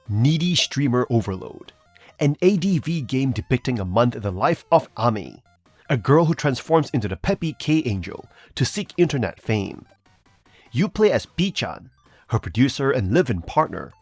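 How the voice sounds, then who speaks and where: A man with a mid-pitched voice narrates a scripted review as the host. His intonation moves up and down in a lively way, yet the delivery stays polished and measured. The sound is dry and close, with faint music underneath. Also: no room sound.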